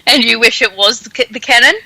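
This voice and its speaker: A woman podcast host chatting casually and speculating in an animated, giggly way. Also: fairly high pitch, fast talker, slightly rough, no room sound, rough recording. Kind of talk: casual chat, theorizing about a story.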